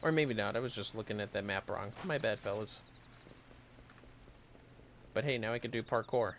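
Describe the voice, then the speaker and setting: Low-voiced man with creaky, lively delivery. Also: moderate pace, a little hiss or hum. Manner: chatty, joking asides to viewers. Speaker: livestreamer playing an online fantasy RPG. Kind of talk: casual gameplay commentary.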